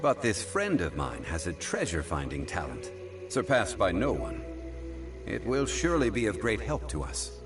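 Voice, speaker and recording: An actor playing a dignified, learned male consultant in a video game, speaking in a formal, measured, stately manner. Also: on the low side, huge pitch swings, distant mic, music in the background. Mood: assured. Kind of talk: video game dialogue acting.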